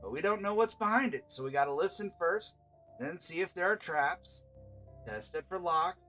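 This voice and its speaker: A man's voice: sits high, lively intonation, normal speed, sharp tone, soft background music, voice pushed up. A man voicing a cautious, bossy adventurer in a tabletop fantasy story, explaining things in an exasperated way.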